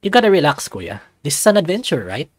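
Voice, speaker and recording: A man's voice: fairly high pitch, huge pitch swings, fast talker, breathy edge, no room sound, clean studio sound, voice pushed up, full character voice. A man voicing an upbeat, friendly young male otter guide in a visual novel, with an eager, breezy manner. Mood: encouraging. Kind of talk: visual novel character voice read aloud.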